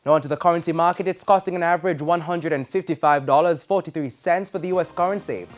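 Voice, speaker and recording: A man with a Jamaican accent reading scripted business news in a formal, measured broadcast delivery. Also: fairly high pitch, steady tone, faint music behind. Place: TV news studio.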